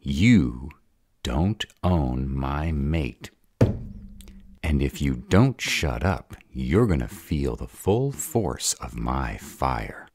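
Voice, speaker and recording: Very deep, gravelly, hot-tempered were-dragon man acting. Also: sing-song, very slow, a little mellow, a little hiss or hum, full character voice. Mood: angry, threatening. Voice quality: gravelly, raspy. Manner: growling, impatient, blunt. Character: gruff, hot-tempered male were-dragon, fiercely protective of his mate. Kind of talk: audio roleplay drama acting.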